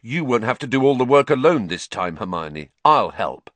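A man's voice: medium pitch, wildly swooping pitch, moderate pace, a bit bright, no room sound, voice pushed up. A man voicing a loyal, awkward teenage boy wizard who talks fiercely and bluntly.

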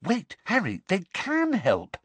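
A man's voice: a little high, very animated, normal speed, breathy edge, no echo, pitched above the performer's own voice. A man voicing an anxious, insistent, clever teenage girl in an audiobook, pleading and precise. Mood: urgent. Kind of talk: audiobook character voice.

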